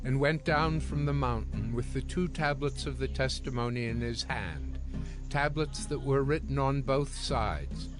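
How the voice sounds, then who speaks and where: A man's voice: medium pitch, unhurried. A man narrating a dramatized scripture audio production from a script. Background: music.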